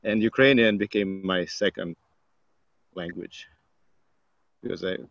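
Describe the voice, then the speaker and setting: Low-voiced man. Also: fairly even intonation, fairly bright tone, no echo, highs cut off. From Kazakhstan. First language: Russian. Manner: halting, searching for words. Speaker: participant. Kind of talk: casual group video call.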